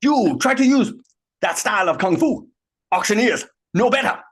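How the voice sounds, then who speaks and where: A man's voice: high voice, expressive, moderate pace, fairly bright tone, breathy edge, voice pushed up, heavily acted. A man voicing a boastful kung fu master with an exaggerated put-on accent and broken speech.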